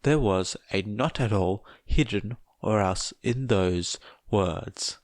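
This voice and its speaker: A man's voice: fairly low pitch, slow, no room sound, clean studio sound. A man narrating a fiction story from a script in audiobook style.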